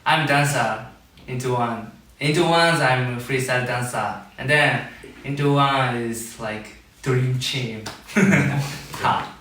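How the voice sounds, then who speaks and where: Bright, slow young man from Japan. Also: medium pitch, slight background noise. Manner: simple short phrases, slow. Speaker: boy band dancer. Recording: echoey room, mic at a distance.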